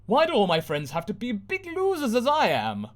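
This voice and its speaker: A man voicing a whiny, bratty teenage girl heroine in a parody story reading, with shrill outbursts. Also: huge pitch swings, normal speed. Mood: grumbling.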